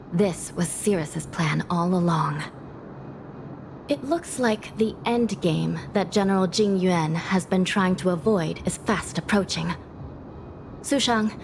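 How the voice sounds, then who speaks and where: A woman voicing a composed, resolute female judge in a video game, measured and authoritative. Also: lowish voice, background noise. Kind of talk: scripted video game voice acting. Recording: voice under prominent music.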